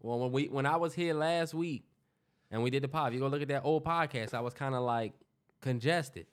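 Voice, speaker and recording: Congested, animated man telling stories. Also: medium pitch, fairly quick. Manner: animated, joking, swears freely. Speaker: podcast co-host. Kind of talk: casual storytelling chat.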